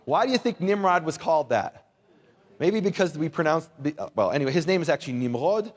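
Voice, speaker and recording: A man's voice: on the high side, lively intonation, brisk. A man who is a native English speaker, giving an informal Bible lesson to a live audience in a conversational, wryly humorous manner with rhetorical questions. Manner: conversational, wry humour, rhetorical questions, asks listeners to repeat words. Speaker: Bible teacher and lecturer.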